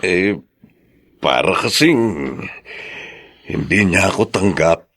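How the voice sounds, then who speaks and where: Gentle, humble old Filipino father-in-law, radio drama; slow delivery with very lively intonation. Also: low-pitched, breathy edge, heavily acted. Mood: hesitant, wistful. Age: older. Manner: hesitant, humble, soft-hearted. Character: gentle, hurt elderly father-in-law, an ex-convict.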